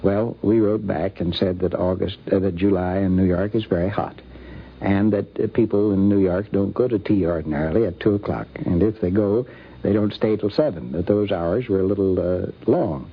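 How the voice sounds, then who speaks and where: Older american guy telling stories, halting, low husky voice, dull old radio tape. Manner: halting, deliberate delivery. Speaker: interviewee recalling a friend. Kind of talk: unscripted anecdotal reminiscence. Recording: dull vintage radio recording.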